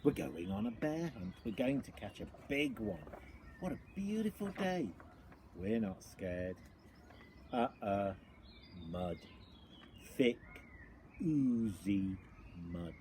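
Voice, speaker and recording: A man giving a dramatic, exaggerated and deliberate reading of a children's picture book. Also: medium pitch, animated, a little mellow, slight background noise. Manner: theatrical, exaggerated, deliberate delivery with repeated phrases. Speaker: storyteller.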